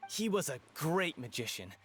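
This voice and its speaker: A man's voice: fairly high pitch, big pitch swings, moderate pace, fairly bright tone. An actor playing a chatty, enthusiastic townsman telling stories in a video game. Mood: admiring. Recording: music under the voice.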